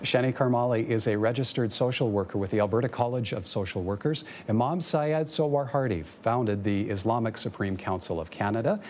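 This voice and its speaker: A man's voice: mid-range voice, brisk, few pauses, a little mellow, slight background noise. A man hosting and moderating a TV panel, polite and a little stammering. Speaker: TV show host, moderator.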